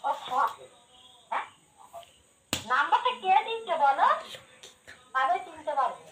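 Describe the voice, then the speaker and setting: Woman, high-pitched, insistent questioner, casual phone chat, echoey with faint noise. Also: sing-song, normal speed, fairly bright tone. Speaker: call recipient.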